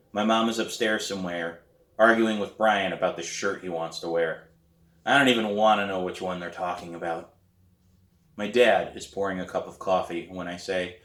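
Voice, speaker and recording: A man reading a children's novel aloud as its author, in an animated storytelling manner. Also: deep voice. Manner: animated, acts out dialogue. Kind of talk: expressive audiobook-style read aloud of a children's novel. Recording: roomy echo.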